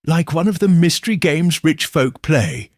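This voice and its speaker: A man's voice: on the high side, animated, a little slow, dry recording, clean studio sound, voice pushed up. A man voicing an eager, gossipy sixteen-year-old village boy in an audiobook, using colloquial slang. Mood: excited. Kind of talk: audiobook character voice.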